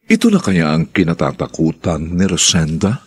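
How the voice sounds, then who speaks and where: A Filipino man narrating and announcing a radio drama in a solemn, grandiose, theatrical style with a gravelly voice. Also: low voice, huge pitch swings, brisk, darkish tone.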